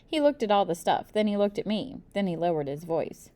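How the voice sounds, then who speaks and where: A woman reading a children's book aloud expressively, with deliberate, dramatic emphasis. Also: medium pitch, sing-song, warm tone. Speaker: storybook reader.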